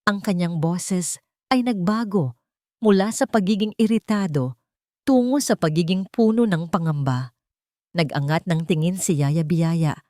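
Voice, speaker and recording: A woman's voice: lowish voice, a little slow, mellow, no room sound, clean studio sound. A woman narrating a scripted story in a solemn, melodramatic manner.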